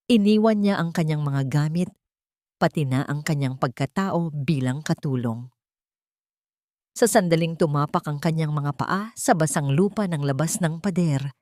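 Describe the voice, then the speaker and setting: A woman narrates a melodramatic audiobook in a low pitch. Her delivery is solemn and suspenseful, and the sound is very dry, with no room around it. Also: a little mellow, no room sound. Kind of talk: dramatic scripted story narration.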